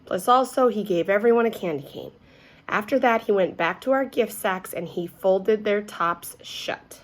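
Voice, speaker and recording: A woman's voice: medium pitch, normal speed. A woman reading a children's story aloud expressively, as if to young listeners. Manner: addresses young listeners with questions.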